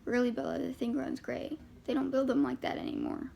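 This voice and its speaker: A woman voicing a gruff, bashful middle-aged father in an audiobook reading, mumbling and halting. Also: fairly high pitch, huge pitch swings, warm tone, a little hiss or hum, heavily acted. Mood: hopeful, earnest. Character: gruff, sheepish, awkwardly kind middle-aged small-town father.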